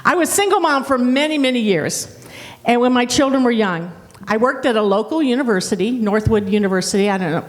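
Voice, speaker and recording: An older woman from Ohio with a slight Southern twang and a strong, loud voice, speaking as a guest associate pastor in a chatty way. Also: fairly high pitch. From Ohio, USA. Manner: chatty and warm, with restarts and laughs. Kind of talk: guest church talk. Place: church. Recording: long reverb of a church hall.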